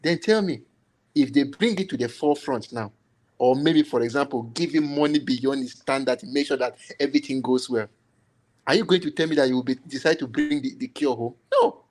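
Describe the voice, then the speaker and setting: A man's voice: medium pitch, brisk, a little mellow. A man born in Cameroon to Nigerian parents, cutting in insistently during a heated panel discussion. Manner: insistent, keeps breaking in to take the floor. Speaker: panel guest.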